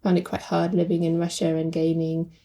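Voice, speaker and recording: A woman's voice: on the low side, completely flat delivery, brisk, warm tone. A woman speaking reflectively with fillers as a guest translator in a podcast conversation.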